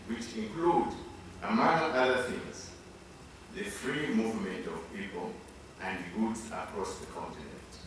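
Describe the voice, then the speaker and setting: A man reading a formal speech as a diplomat, halting between short phrases. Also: deep voice. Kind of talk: formal speech read aloud. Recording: very echoey, distant, noisy room.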